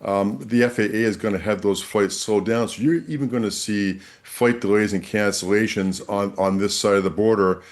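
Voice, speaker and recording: A man's voice: fairly low pitch, fairly even intonation, moderate pace, hardly any pauses. A man speaking as an expert guest in a news interview, explaining things with emphatic repetition.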